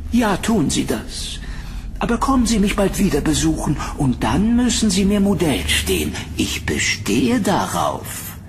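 Man acting a theatrical, menacing painter, wide-swinging pitch. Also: unhurried, slight background noise, heavily acted. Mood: insistent, lustful. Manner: theatrical, flattering, insistent. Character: eccentric, menacing male painter of macabre nightmare art. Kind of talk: German horror audio drama acting.

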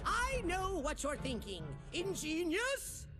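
A shrill little cartoon overlord, puffed up with megalomania. He swoops theatrically through every phrase at an unhurried, bombastic pace, over prominent music. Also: wildly swooping pitch, heavily acted. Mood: boastful. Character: small bald shrill megalomaniac male evil overlord.

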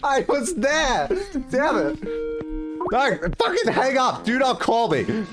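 Lively, swearing young man streaming. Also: extremely high pitch, slow. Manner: animated, profane, laughs a lot. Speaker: game streamer. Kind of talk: casual live-stream chat. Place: small home room. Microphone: boom mic close up, wearing headphones.

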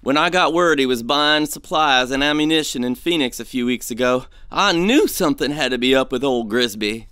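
An actor playing an uneasy, superstitious male gold prospector in an audio drama, speaking in a folksy, hesitant, excitable way. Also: mid-range voice, moderate pace, few pauses, clean tone, no echo, natural voice. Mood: wondering.

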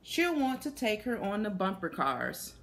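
A woman's voice: mid-range voice, very animated, unhurried, fairly bright tone, a little hiss or hum. A young adult woman reading a picture book aloud to children, stopping to put questions to her young listeners. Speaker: children's story reader. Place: small plain room indoors.

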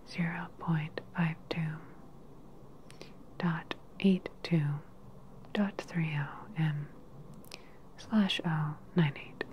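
A woman in her thirties playing a calm, clinical evil scientist in a whispered sci-fi ASMR roleplay, breathy and measured, close to the microphone. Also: low-pitched, background noise. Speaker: ASMR performer. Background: sci-fi lab ambience.

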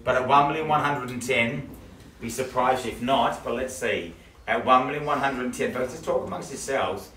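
A middle-aged New auctioneer works a house sale with quick jokes and repeated bid figures. His voice sits at an ordinary pitch for a man. He is heard from across an echoey living room. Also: a bit bright, slight background noise. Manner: joking, rapid patter, repeating bids. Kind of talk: live property auction calling. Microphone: camera across the room. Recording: roomy, distant camera audio.

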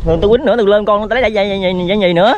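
Southern vietnamese guy w a high voice, casual banter, noisy outdoor recording. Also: very fast. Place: outdoors on a rural roadside by water. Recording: noisy open-air rural roadside.

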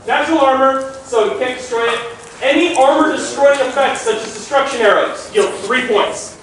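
A man's voice: very high-pitched, normal speed, fairly bright tone, slight background noise. A man briefing a group on game rules in an emphatic, instructive way, answering questions as the organizer. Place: open-sided concrete park pavilion. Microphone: distant camera mic. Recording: echoey park pavilion, far camera, crowd chatter.

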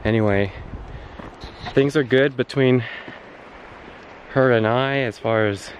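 A man of thirty chatting casually and haltingly to a handheld camera while walking outdoors on a trail, in an easygoing way. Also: medium pitch. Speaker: vlogger. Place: outdoors on a wooded canyon trail. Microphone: handheld camera at arm's length. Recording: clear outdoor background noise on trail.

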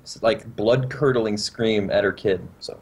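A man's voice: lowish voice, unhurried, slight background noise. A young man with a North American accent, co-hosting a casual gaming talk show in an informal, rambling way. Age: young adult. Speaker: podcast co-host. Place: indoor room at home, video call.

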